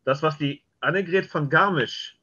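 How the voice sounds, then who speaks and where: A middle-aged man chats on a video call in a bright, sharp, fairly high voice. He tells anecdotes casually as a guest, and the sound is slightly dulled. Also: moderate pace, husky, muffled recording. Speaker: interview guest. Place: home room lined with shelves, on a video call.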